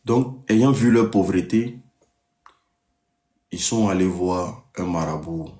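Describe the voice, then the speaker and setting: Man, lowish pitch, live-stream storyteller, deliberate, repetitive, addresses listeners, very echoey recording. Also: dark tone. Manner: deliberate, repeats sentences, checks listeners understand.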